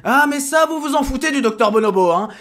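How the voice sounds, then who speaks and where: A man playing an excitable, sarcastic henchman in a comedic audio drama, with a chatty, nervy delivery. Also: very high-pitched, fast talker. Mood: indignant, sarcastic. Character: glib, excitable, sarcastic male clone henchmen.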